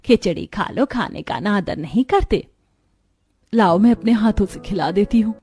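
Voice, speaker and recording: A woman voicing a gentle, patient and worried young mother in a cartoon story. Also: medium pitch, expressive, normal speed, slightly rough, no room sound, clean studio sound. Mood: coaxing.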